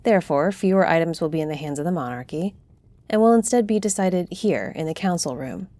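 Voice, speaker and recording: A woman's voice: fairly low pitch, animated, fairly quick, dark tone, no room sound, lightly acted. A woman playing a solemn, fair-minded griffin-shifter council leader in a fantasy audio roleplay, speaking with formal authority. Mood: formal, official.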